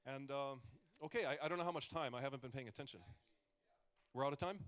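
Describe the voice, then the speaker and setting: A man's voice: mid-range voice, fast, fairly bright tone, clean tone. A man in his forties, a comedian giving a casual talk with joking asides and rambling restarts. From United States. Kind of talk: conversational stage talk.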